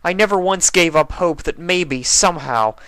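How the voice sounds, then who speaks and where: A man reading a fanfic aloud and voicing a heartbroken, bitter young male pony in a loud, angry way. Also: fairly high pitch, moderate pace, clear, resonant tone, voice pushed up.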